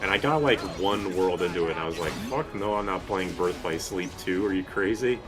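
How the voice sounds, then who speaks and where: A man's voice: sits low, brisk. A man giving casual, rambling gameplay commentary with some swearing. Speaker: video game streamer. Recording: game music behind the voice. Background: video game music.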